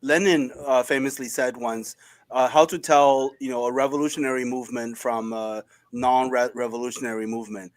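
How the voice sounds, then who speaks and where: A man's voice: medium pitch, fairly even intonation, normal speed, no room sound. A man on a political discussion show who cuts in emphatically, with frequent ums and restarts. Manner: interjecting, emphatic, hesitant with ums.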